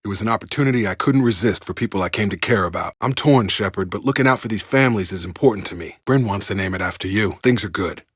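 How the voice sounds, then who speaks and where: A man's voice: lowish voice, quick pace, hardly any pauses, slightly rough, dry recording, studio quality. An actor playing an earnest, loyal male soldier in a video game, speaking plainly and sincerely as someone who protects his people. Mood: sincere, reflective. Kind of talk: dramatic game dialogue voice lines. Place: dry studio recording.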